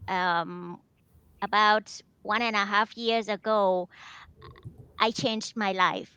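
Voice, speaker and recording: A woman's voice: mid-range voice, steady tone, takes it slowly, bright, forceful tone, clean tone, no room sound, lo-fi sound. A woman with a Thai accent, a happiness coach speaking hesitantly as a guest on a video call. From Thailand. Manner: hesitant, many ums. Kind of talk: casual video call chat.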